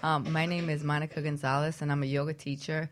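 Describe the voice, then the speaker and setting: A woman's voice: low-pitched, little pitch movement, quick pace, slight background noise. A woman hosting a radio show in a casual, chatty style. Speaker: radio show host, yoga teacher.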